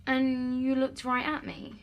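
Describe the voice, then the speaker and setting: Woman playing a high-pitched feisty young vlogger. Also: unhurried, vocal fry, soft background music. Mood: indignant. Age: young adult. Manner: feisty, informal, excitable. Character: Claire, feisty young female ghost-hunting vlogger. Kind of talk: audio drama acting.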